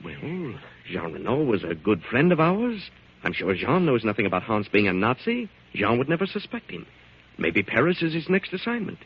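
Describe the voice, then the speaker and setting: A man's voice: medium pitch, wildly swooping pitch, moderate pace, husky. An actor playing a soothing, evasive young man in 1940s American radio drama, placating and hesitant. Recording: muffled 1940s radio recording.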